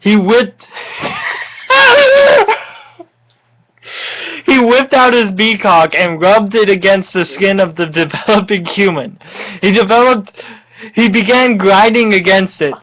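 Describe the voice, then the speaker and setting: A person giving a tipsy, mock-dramatic reading of comic fan fiction, halting and often giggly. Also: medium pitch, lively intonation, a bit bright, rough recording. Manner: giggly, frequently breaking into laughter; melodramatic, halting delivery.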